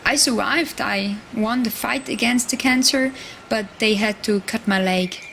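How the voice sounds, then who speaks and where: A young woman from Switzerland tells her own story in English, her Swiss German accent clear in every phrase. Her voice sits a little high, and she speaks softly, pausing and searching for words. A faint hiss of background noise lies under her voice. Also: echoey room, recorded from a distance. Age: 20s. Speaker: documentary subject. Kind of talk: personal documentary narration.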